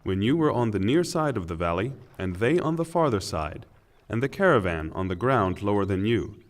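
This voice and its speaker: A man reads translated scripture in a lowish voice, solemn and formal. His phrasing is deliberate, yet the pitch moves in a lively, expressive way. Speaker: translation reader.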